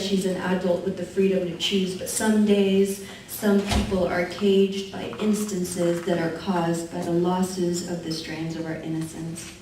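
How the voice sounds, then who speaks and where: A young woman recites her spoken word poem in a soft, measured, rhythmic way. She is heard from well back in a big echoing hall, with a faint hum underneath. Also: fairly low pitch. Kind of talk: spoken word poetry performance. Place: university event hall. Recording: echoey hall recorded from far off.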